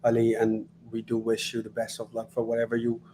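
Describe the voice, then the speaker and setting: A man's voice: medium pitch, monotone, a little fast, dark tone, slight background noise. A man with a Pakistani accent politely asking questions in a casual, impromptu interview. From Lahore, Pakistan. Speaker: guest acting as interviewer.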